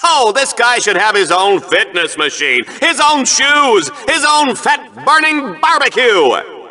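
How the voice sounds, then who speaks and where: Cheesy smug sci-fi sports announcer in a game, super animated, swoops all over, bright and brassy. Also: male voice, takes it slowly, full character voice. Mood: showy, hyped. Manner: theatrical, smug, swooping from booming hype to chatty asides. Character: brash, shallow, hammy male sci-fi gladiator show announcer. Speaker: arena game show announcer and commentator. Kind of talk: exaggerated sports and game show commentary.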